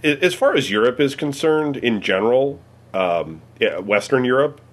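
A man's voice: medium pitch, animated, normal speed. An American man answering questions in a casual chat, rambling with many fillers and swearing freely. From United States. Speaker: interviewee.

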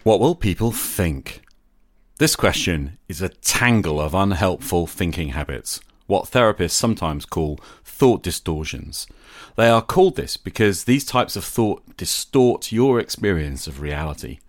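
A man hosting a podcast, delivering a scripted, reflective monologue in a deliberate, explanatory way that addresses the listener directly. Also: low-pitched, animated, dry recording, studio quality. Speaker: podcast host.

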